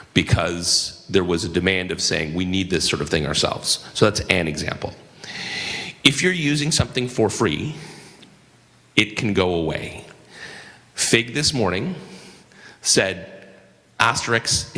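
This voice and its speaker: A man giving wry, quick closing remarks on a conference stage, with many fillers. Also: deep voice, gravelly, sharp tone. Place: conference stage in a large hall. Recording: live conference hall with reverb.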